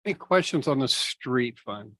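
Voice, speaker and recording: A city staff member explaining budget items at a public meeting, measured and explanatory, with many fillers. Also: male voice, medium pitch, animated, fairly bright tone, dry recording. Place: meeting room.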